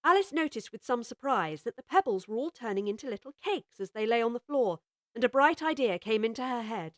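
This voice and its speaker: A woman narrating a full-cast audiobook of a children's classic in a measured, expressive way. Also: a little high, no echo, studio quality. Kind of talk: scripted storybook narration.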